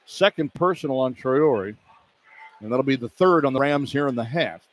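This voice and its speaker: A man's voice: mid-range voice, lively intonation, normal speed. A man calling live basketball play-by-play for radio, in clipped running descriptions that turn emphatic on big plays. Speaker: play-by-play announcer. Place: college basketball arena. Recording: arena noise behind live broadcast. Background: arena ambience.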